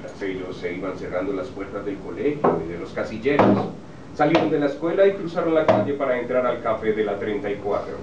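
A man's voice: lowish voice, lively intonation, a little fast. A man reading scripted radio drama narration aloud. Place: TV studio rehearsal set, indoors. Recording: echoey distant camcorder sound, muffled, noisy.

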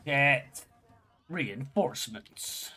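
A man's voice: mid-range voice, extremely slow. A man, chatting and laughing while streaming a shooter game. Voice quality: raspy. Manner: excitable, laughing, swearing. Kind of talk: casual gaming banter.

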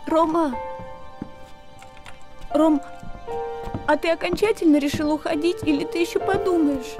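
A woman's voice: very high voice, measured pace. An actress playing a distraught, clinging woman in her thirties, pleading tearfully in a naturalistic TV melodrama. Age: 30s. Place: furnished room indoors. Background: music.

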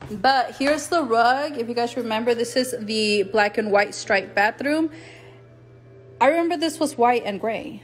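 A woman giving a casual tour of her house on a handheld phone, chatting with fillers and trailing thoughts. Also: on the high side. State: out of breath. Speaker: home vlogger. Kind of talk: casual home tour vlog. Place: two-story house indoors, then garage. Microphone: phone camera held in hand.

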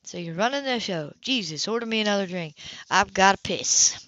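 A woman's voice: mid-range voice, animated, brisk, fairly bright tone, no room sound, lo-fi sound, natural voice. A woman voicing a brash, foul-mouthed, hard-drinking woman who is bitter and defensive, with a blunt, sneering manner.

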